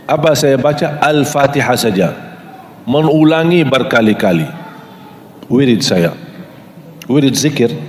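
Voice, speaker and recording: A male preacher addresses a live gathering in a middle-pitched voice. He asks the audience questions and recites lists slowly and deliberately. A long reverb trails each phrase, as in a large hall. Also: a little hiss or hum. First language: Arabic.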